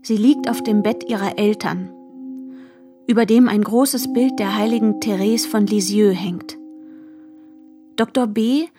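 A woman's voice: medium pitch, a little slow, pauses often, close to an everyday voice. An actress playing a young woman who re-enacts a girlhood in radio drama, precise and observant. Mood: observant, tense. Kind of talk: literary radio drama narration, present tense. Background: music.

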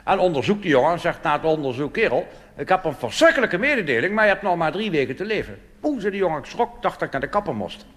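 A rambling, self-important old officer, voiced by a Dutch man on stage. The voice swings up and down a lot and sits a touch high. It comes from a live theater recording with a long hall echo and steady hiss. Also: fast, few pauses. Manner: pompous, rambling, tag questions. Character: blustering reactionary old army officer, pompous. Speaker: cabaret comedian. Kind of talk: stand-up cabaret monologue in character.

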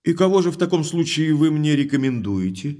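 An actor playing an impulsive, forthright young baronet in an audio drama, speaking emphatically and earnestly. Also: male voice, a little high, very animated, a little fast, warm tone.

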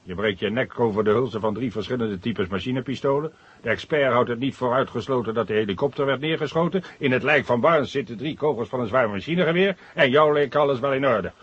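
Husky, sarcastic police inspector, acted by a man. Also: mid-range voice, fast talker, muffled recording, close to an everyday voice. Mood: sarcastic, reproving. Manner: sarcastic, reproving, commanding. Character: stern, sarcastic male police inspector, irritable superior. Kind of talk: 1970 radio drama acting.

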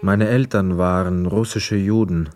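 An actor playing a reflective, weary homecoming soldier in a radio play, with measured, lyrical phrasing. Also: male voice, low-pitched, fairly even intonation, music in the background. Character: weary young war returnee, reflective, dreamy wanderer. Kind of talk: literary radio play monologue and dialogue.

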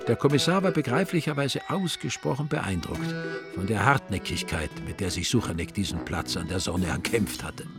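A man's voice: fairly low pitch, lively intonation, normal speed, over loud music. A man narrating a radio drama in a dry, ironic, literary style. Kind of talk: scripted, wry literary narration.

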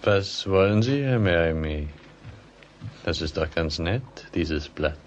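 An actor playing an elegant young French bridegroom in a radio play, boastful, impulsive and hot-headed, given to exclamations. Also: male voice, low-pitched, animated, slow, lo-fi sound, a little hiss or hum. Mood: breezy, jovial. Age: young adult. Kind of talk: radio play dialogue acting.

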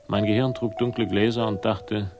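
An actor playing a haunted, weary man who narrates his own crime story in slow, brooding, clipped phrases for a radio drama. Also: fairly low pitch, little pitch movement, close to an everyday voice. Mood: bleak, brooding. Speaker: first-person narrator. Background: music.

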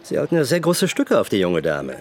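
An actor playing an authoritative, probing police superior in a radio drama. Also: male voice, mid-range voice, huge pitch swings, brisk.

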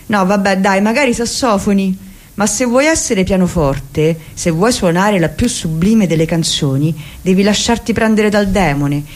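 A woman's voice: medium pitch, darkish tone. A woman giving an impassioned, declamatory reading of a book for the radio. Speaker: reader. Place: radio studio.

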